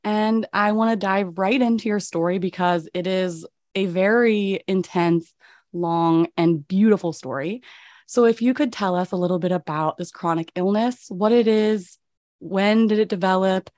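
A woman hosting a podcast interview, speaking in a calm, earnest and reflective way. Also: on the low side, moderate pace, no echo, clean studio sound. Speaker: podcast host.

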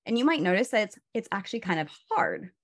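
A woman's voice: medium pitch, very animated, fast. A woman burnout coach, a guest on a video call, explaining stress in a casual, instructive way with many "you know"s and "right?"s.

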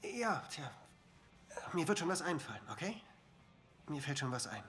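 A man's voice: fairly high pitch, very animated, moderate pace, a bit bright, soft background music. An actor in a dubbed TV series playing a stressed, irritable young man, evasive and defensive. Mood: uneasy, reassuring.

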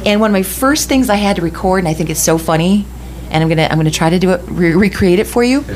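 A woman's voice: lowish voice, expressive, quick pace, darkish tone, a little hiss or hum. Chatty woman telling a laughing story. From West Michigan. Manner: chatty storyteller, laughs often, restarts sentences. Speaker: radio host telling a story. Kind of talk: casual chat.

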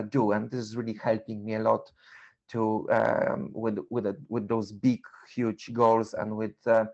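A middle-aged man from Poland with a Polish accent, speaking reflectively and haltingly in an interview, repeating words as he goes. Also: medium pitch, vocal fry, darkish tone, lo-fi sound. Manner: halting, repeats words, non-native phrasing. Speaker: interview guest, endurance runner and coach.

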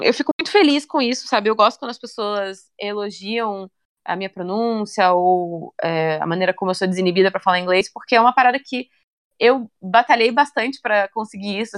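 A young woman chatting casually in an interview, relaxed and talkative, often ending phrases with little tag questions. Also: medium pitch. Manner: chatty, relaxed, many fillers like "né" and "sabe".

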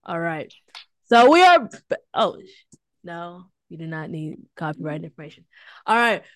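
A young woman with a Southern drawl hosts a casual podcast, teasing her co-host and hyping the show. Her voice is smooth and clear, and it sounds close and dry, with no room around it. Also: on the low side, sing-song, normal speed, a bit bright, dry recording. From Houston, Texas.

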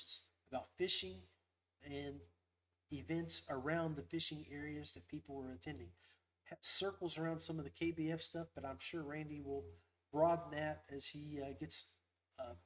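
Higher-voiced man hosting a casual livestream. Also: fairly even intonation, normal speed, low-quality recording. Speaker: podcast host. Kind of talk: casual livestream fishing chat.

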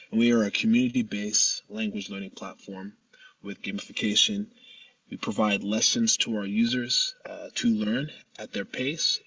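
A man from the United States, a startup founder answering interview questions in a measured, steady way with occasional pauses. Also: on the low side, husky, no room sound, far from the mic, dull audio.

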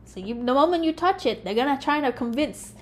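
A woman's voice: sits high, expressive, brisk. A young woman co-hosting a podcast, chatting casually and laughing readily. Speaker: podcast co-host.

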